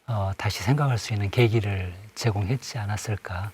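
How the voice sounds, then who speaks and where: Hesitant low husky man. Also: darkish tone. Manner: hesitant, many pauses and fillers. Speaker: panel guest, former museum director. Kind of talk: studio panel discussion.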